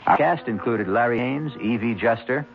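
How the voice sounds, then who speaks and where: A man's voice: medium pitch, normal speed, gravelly. A man hosting a radio mystery show with scripted narration, theatrical and sardonic in manner. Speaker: host. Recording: dull lo-fi 1970s radio recording. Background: music.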